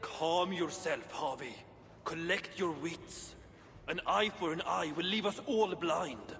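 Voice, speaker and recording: An actor playing a worried, cautious dwarf who counsels patience in a video game, with earnest, measured delivery. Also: male voice, a little high, gravelly. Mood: concerned, cautioning. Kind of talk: dramatic video game acting. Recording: long cavernous reverb, faint noise.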